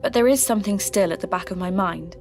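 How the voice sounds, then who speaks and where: A woman playing a reflective podcast host, reading scripted narration in a measured, confiding way. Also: mid-range voice, darkish tone, natural voice. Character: reflective female podcast host investigating folklore mysteries. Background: music.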